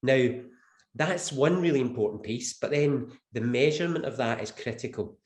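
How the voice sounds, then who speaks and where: A man's voice: medium pitch, normal speed. Scottish man with the flu, roomy sound. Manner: earnest, emphatic, repeats key phrases. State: has the flu. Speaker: webinar panelist. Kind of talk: webinar presentation.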